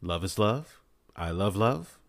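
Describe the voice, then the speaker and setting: A man's voice: on the low side, expressive, takes it slowly, gravelly. A man chatting casually as a podcast guest, with an emphatic, repetitive way of phrasing things. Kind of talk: casual chat.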